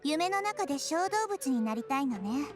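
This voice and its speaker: A small, gentle girl deity in a fantasy video game. She is polite and curious, with a very high voice and quick speech over clear background music. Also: big pitch swings. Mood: curious, puzzled. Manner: polite, inquisitive. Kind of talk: Japanese video game voice acting.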